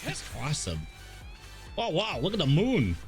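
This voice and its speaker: A man's voice: fairly high pitch, huge pitch swings, normal speed, soft background music. A man livestreaming a video game with casual commentary, playful joking asides and bits of dialogue read aloud.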